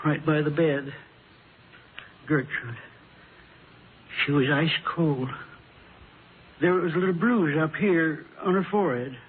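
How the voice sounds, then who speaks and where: An actor playing a grieving, bewildered widower past sixty-five, polite and halting, in a vintage radio drama. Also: male voice, on the high side, husky. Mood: stunned grief. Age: over sixty-five. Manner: halting, polite, plain folksy speech. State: shaken with grief. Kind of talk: 1950s radio drama acting. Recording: tinny, noisy vintage radio recording.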